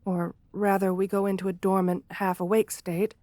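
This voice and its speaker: A woman's voice: medium pitch, flat delivery, normal speed, warm tone, no echo, lightly acted. A woman playing a shy, sweet, bookish gargoyle in a romantic ASMR roleplay, speaking hesitantly with polite, old-fashioned formality. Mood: flustered, timid.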